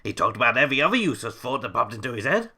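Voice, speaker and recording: An actor playing an eccentric, breezily callous, self-important scientist, musing to himself as presenter in an audio drama monologue. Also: male voice, mid-range voice, very animated, very fast, sharp tone, slightly rough, heavily acted. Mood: nitpicking. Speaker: in-story podcast presenter.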